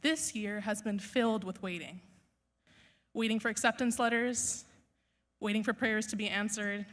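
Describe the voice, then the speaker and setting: A young woman whose first language is Urdu, delivering an earnest, measured graduation speech from a school stage. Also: mid-range voice, fairly bright tone. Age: young adult. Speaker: valedictorian.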